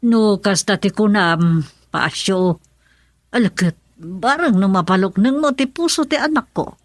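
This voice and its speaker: A woman's voice: mid-range voice, a little slow, no room sound, natural voice. An actress playing an insistent, nagging middle-aged mother in a radio drama, with an Ilocano accent. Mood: scheming. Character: insistent middle-aged mother pushing her daughter to marry.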